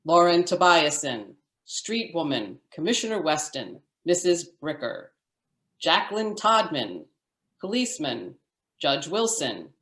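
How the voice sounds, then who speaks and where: A woman's voice: low-pitched, sing-song, a little slow, fairly bright tone. A woman announcer reading a script in formal, presentational old-time radio style. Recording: roomy, echoing sound.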